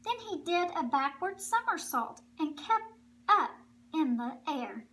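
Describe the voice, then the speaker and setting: A woman reading a rhyming picture book aloud to children, with deliberate, expressive phrasing. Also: sits high. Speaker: children's story reader.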